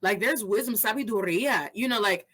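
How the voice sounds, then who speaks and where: A woman's voice: mid-range voice, fairly quick, a bit bright. A woman in her thirties hosting a podcast interview, talking animatedly and mixing Spanish phrases into her English. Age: 30s. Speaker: podcast host. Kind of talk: casual interview chat.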